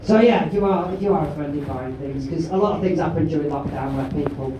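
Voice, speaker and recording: A man's voice: on the high side, brisk. A sweary man, hosting a live stand-up night and teasing the crowd. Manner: brash, sweary, teasing the audience. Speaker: comedy compere. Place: live comedy club. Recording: echoey room, distant mic, crowd noise.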